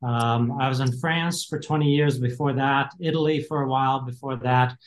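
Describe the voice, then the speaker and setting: An American man in his fifties talks things through slowly and reflectively as an interview guest, often pausing and restarting. His voice sits at an ordinary middle pitch. The sound carries a noticeable roomy echo. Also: steady tone. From United States. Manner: reflective, many fillers and restarts. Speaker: guest, executive coach. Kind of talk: casual interview talk.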